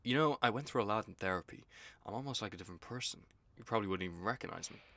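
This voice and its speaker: Evasive, hesitant man with lively pitch. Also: very fast, no echo. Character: reluctant, evasive, awkwardly apologetic old school friend, male. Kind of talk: audio play acting.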